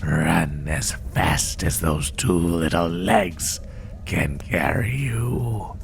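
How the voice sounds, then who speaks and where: A sadistic spider monster played by a man stalks its prey in a horror audio roleplay. The voice is extremely low and crackles with fry, often sinking into a breathy whisper. It draws out its taunts very slowly and with cold menace. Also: heavily acted. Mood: taunting threat. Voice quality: deep breathy, gravelly half-whisper. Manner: slow, taunting, menacing, drawn-out phrases. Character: sadistic male spider monster hunting prey through the woods.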